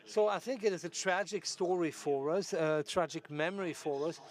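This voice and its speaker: A man with a slightly high voice interprets a debate live. He delivers the sentences piece by piece, in a halting way.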